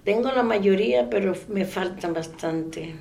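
A woman in her eighties from the Dominican Republic with a Dominican accent, giving a soft-spoken oral history interview. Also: medium pitch, unhurried, vocal fry. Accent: Dominican Spanish. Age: 80s. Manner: soft-spoken, trailing off into quiet.

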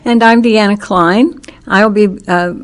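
An older woman from Kansas leading an oral history interview with measured, formal questions. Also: mid-range voice, steady tone.

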